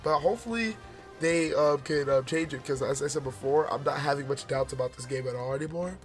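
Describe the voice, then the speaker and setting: Hoarse man rambling through trailer commentary. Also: fairly high pitch, fairly quick, faint music behind. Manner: rambling, many fillers and restarts; forcing his voice out. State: hoarse, losing his voice. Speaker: gaming video host.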